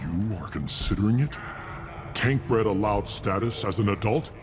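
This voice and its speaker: A man voices a proud, hidebound alien warrior in a game. The low voice is full of scorn and indignation. Clear noise sits behind it. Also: expressive, normal speed, close to an everyday voice. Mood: scornful, indignant. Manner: indignant, contemptuous. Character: scornful, hidebound alien traditionalist clan rival. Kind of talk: video game character acting.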